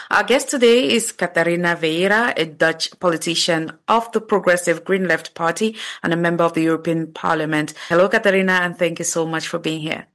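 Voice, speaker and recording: Effusive woman hosting a podcast. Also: lowish voice. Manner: warm, effusive welcomes. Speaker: podcast host. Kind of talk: podcast interview.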